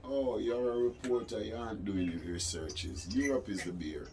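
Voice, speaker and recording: A low-pitched man speaks with a Caribbean accent in an insistent, sermonizing manner. He repeats words to drive his points home as he explains his views in an interview, with clear ambient noise around him. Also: normal speed, a little mellow. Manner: preaching, insistent, repeats phrases for emphasis. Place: studio, during a phone call. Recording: noisy ambient room recording.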